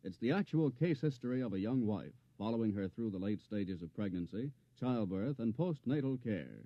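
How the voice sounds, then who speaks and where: A man's voice: on the low side, animated, normal speed, no room sound. An American man reading a scripted promo as a 1950s radio announcer. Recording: dull vintage radio broadcast recording.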